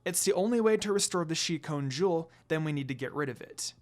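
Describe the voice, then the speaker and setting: A kind young priestess, played by a narrator, talks with lively, swinging pitch. She is an earnest, outspoken woman, easily flustered, in a fantasy tale. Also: fairly quick, natural voice. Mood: earnest, explaining.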